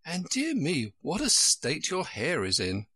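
A man's voice: on the high side, huge pitch swings, measured pace, husky, dry recording, pitched above the performer's own voice, full character voice. A man voicing a timid, civil and sensible young girl in a storybook. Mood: concerned. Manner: civil, gently practical.

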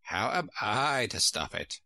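A man's voice: fairly low pitch, very animated, takes it slowly, bright, forceful tone, dry recording, full character voice. A man voicing a cross, bossy old female sheep from a storybook, curt and scolding. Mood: curt, dismissive. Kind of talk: storybook character voice.

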